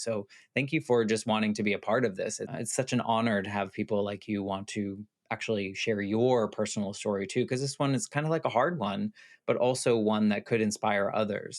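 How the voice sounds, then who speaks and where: Man, podcast host, lowish voice, appreciative, conversational. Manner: warm, appreciative, loosely phrased questions. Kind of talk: conversational interview hosting.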